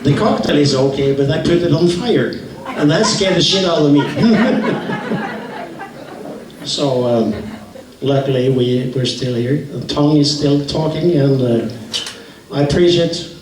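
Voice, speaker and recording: An older retired pilot giving a joking reunion speech. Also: male voice, on the high side, sing-song, slow, a crowd in the background. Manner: joking, laughing. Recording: echoey hall from far off, noisy.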